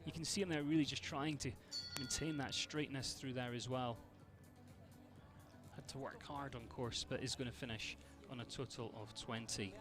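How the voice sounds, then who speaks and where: A man's voice: mid-range voice, fairly even intonation, normal speed, over music. A man from Scotland with a Scottish accent, giving chatty live commentary on a show jumping event and greeting viewers by name.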